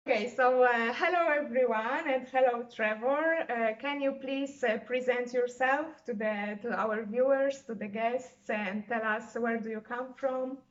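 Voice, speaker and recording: A woman's voice: a little high, measured pace, few pauses. A woman from Poland, hosting an online interview, speaking hesitantly with many fillers and restarts. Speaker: interviewer and channel host. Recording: dull lo-fi video call audio.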